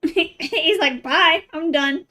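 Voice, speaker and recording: A woman's voice: very high-pitched, drawn-out words, pushed and bright. A woman livestreaming a game, chatting casually and reacting with playful exclamations. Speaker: game streamer.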